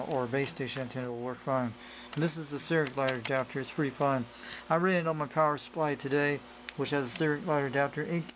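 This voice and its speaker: A man with a slightly high voice talks through a hands-on gadget demo. He explains casually and rambles, often circling back over the same point. It is an amateur camera recording with faint noise behind him. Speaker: radio hobbyist presenter. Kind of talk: casual hands-on product demonstration. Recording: noisy amateur camera audio.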